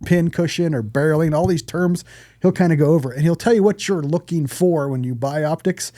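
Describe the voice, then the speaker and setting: A man's voice: on the high side, a little fast, mellow, dry recording, clean studio sound. A man hosting a podcast in a casual, conversational style, speaking bluntly and swearing freely. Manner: blunt, profane. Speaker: podcast host. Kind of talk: casual chat.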